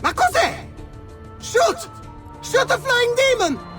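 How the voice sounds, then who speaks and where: Bright, urgent thief leader. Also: male voice, very high-pitched, sing-song, slow pace, faint music behind, full character voice. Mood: alarmed. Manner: urgent, commanding. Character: brisk male thief leader, Antonio. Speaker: game character.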